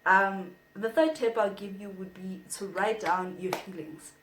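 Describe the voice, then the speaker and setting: Brief: young woman, slow and playful vlog delivery, echoey room. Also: medium pitch, fairly even intonation, fairly bright tone, slight background noise. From South Africa. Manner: chatty and playful, reads from notes. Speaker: vlogger. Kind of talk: casual advice vlog.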